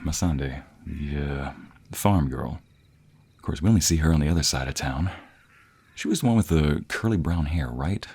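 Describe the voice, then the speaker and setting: Man acting a low, breathy, playful young boyfriend. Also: normal speed, darkish tone, full character voice. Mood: teasing. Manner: teasing, affectionate, breathy murmurs and chuckles. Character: playful, devoted young boyfriend, a villager with a secret. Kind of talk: romantic audio roleplay addressed to the listener. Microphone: close binaural microphone.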